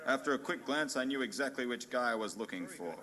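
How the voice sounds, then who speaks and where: A man playing a hard-boiled, pompous and bumbling private detective in a noir radio play parody, narrating in a deadpan, melodramatic way. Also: medium pitch, fast. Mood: deadpan world-weary.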